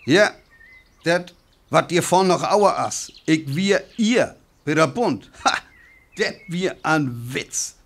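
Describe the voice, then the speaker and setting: An actor with a North Frisian accent playing a jumpy, embittered soldier in a radio play, speaking in gruff, broken phrases. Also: male voice, on the high side, animated, takes it slowly, a bit bright, exaggerated voice. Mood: bitter, agitated. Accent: North Frisian (Föhr). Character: jumpy, embittered male soldier holed up after defeat.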